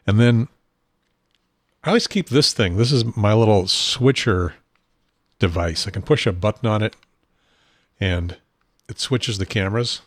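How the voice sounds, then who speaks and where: A man hosting his own talk show from a home studio desk, rambling and self-deprecating, with a husky, unsteady voice and frequent throat clearing. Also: on the low side, a little slow, long pauses, dry recording. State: has a probe in his nose. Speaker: podcast host.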